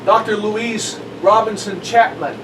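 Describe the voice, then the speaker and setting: A middle-aged man from southeast Missouri, a pastor telling stories in an unhurried, friendly way. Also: high-pitched, steady tone. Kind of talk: casual conversation. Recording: distant mic with faint background noise.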